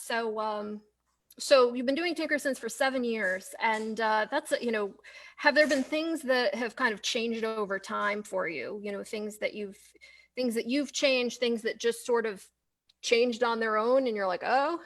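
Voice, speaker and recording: A woman's voice: fairly high pitch, a little slow, fairly bright tone. A woman hosting a casual interview, speaking in a tentative, rambling way with questions that restart and trail off. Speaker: interviewer, host.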